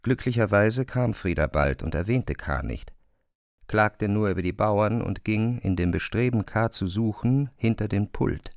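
A man narrating a literary radio drama in a measured, serious manner. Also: on the low side, no echo, clean studio sound.

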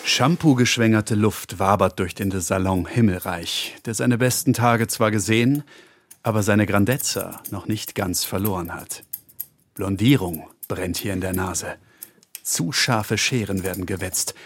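Man playing a low, dry-recorded crime play narrator. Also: unhurried, no echo. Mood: theatrical. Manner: formal, theatrical. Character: grand, wry narrator of a salon murder mystery. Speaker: actor at a table read. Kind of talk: scripted radio play narration.

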